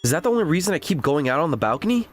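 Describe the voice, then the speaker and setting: The earnest, teasing young boyfriend of a romcom manga dub, played by a man, his animated pitch leaping about over light background music. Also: racing. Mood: reflective. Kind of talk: dramatized romantic comedy dialogue and inner narration.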